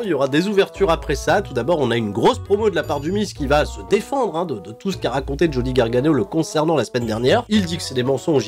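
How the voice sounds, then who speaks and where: A man hosting a wrestling recap, chatty and opinionated with sarcastic asides. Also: a little high. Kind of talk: casual wrestling show recap.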